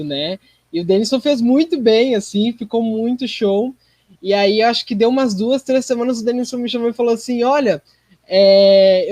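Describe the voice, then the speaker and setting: A man's voice: high-pitched, a little mellow, no echo. A man with a Brazilian accent telling anecdotes casually as a podcast guest.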